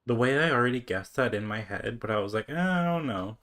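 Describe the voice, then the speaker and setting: A woman's voice: extremely low pitch, animated, a little fast, noisy background. A woman game streamer giving casual live reactions over gameplay.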